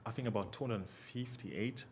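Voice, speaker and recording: A man's voice: fairly low pitch, normal speed, slight background noise. A young man from Cameroon, a podcast guest, speaking earnestly and reflectively with many "you know" fillers. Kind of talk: casual interview talk.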